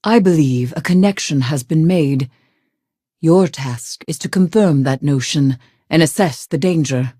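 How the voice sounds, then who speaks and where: Game briefing: authoritative female officer, dark soft timbre, breathy edge, composed delivery. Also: low-pitched, a little slow, no room sound, studio quality. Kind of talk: video game mission briefing.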